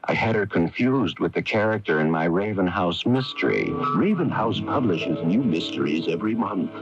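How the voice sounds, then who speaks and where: An actor playing melodramatic mock-suspense storytelling in a radio commercial for mysteries. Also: male voice, low-pitched, expressive, moderate pace. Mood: amused, sly. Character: melodramatic mystery announcer and obsessed, sheepish male reader. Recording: dull lo-fi radio broadcast with loud music.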